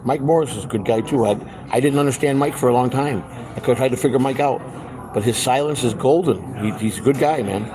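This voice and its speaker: A man's voice: medium pitch, brisk, poor audio. Man in his fifties chatting amid background chatter. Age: 50s. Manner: repeats himself for emphasis. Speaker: live stream host. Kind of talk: casual rambling chat with viewers and companion.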